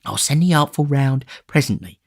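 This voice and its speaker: A man voicing a scheming old male fence who wheedles and pleads in a high, whining, sing-song voice in a storybook reading. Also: lively intonation, moderate pace, creaky. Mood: wheedling. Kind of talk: audiobook character reading.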